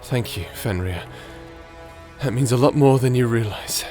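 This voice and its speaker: A man's voice: medium pitch, a little slow, mellow, faint music behind, lightly acted. A man playing a conflicted, guilt-ridden strategist and devoted friend in a dramatic audio roleplay, with emotional delivery. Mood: reassuring, resolved.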